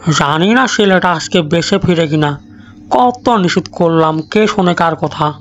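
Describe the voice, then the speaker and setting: A man's voice: fairly high pitch, a little slow, muffled recording, a little hiss or hum, close to an everyday voice. A man playing an earnest countryman in a spooky cartoon folk tale, pleading and cautioning. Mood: worried pleading. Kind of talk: cartoon dialogue acting. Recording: clear music behind.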